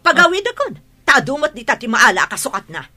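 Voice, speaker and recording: A woman's voice: high-pitched, huge pitch swings, a little fast, sharp tone, soft background music, full character voice. An actress with a Filipino Ilocano accent playing a haughty, domineering mother and mistress of the house in a radio drama, scolding and imperious. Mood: irritated, scolding.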